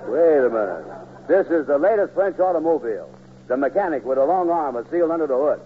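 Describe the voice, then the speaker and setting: A man playing a gullible, slow-witted, easily riled fellow with folksy, ungrammatical speech in a 1940s radio comedy. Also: a little high, animated, crowd noise, lightly acted. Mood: naive pride. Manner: folksy ungrammatical talk, dim. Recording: old tinny radio recording with hum.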